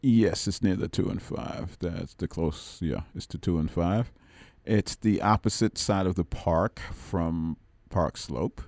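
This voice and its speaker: A man's voice: deep voice, measured pace, few pauses, a little mellow, no echo. A man, a musician guest on a radio show, talking in a loose, rambling way and laughing often. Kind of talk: casual radio chat. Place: radio studio. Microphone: studio microphone.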